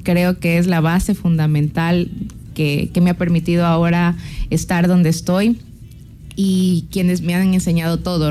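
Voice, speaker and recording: A woman's voice: lowish voice, warm tone. A woman in her thirties with an Ecuadorian accent, answering interview questions in a friendly, proud way. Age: 30s. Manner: friendly, proud and laughing easily. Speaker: business chamber president.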